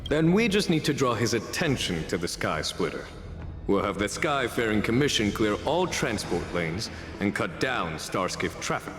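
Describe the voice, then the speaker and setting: A man as calculating game strategist, deep voice, measured and formal, echoey hall, faint music. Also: lightly acted. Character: composed, calculating male strategist commander. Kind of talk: video game cutscene dialogue.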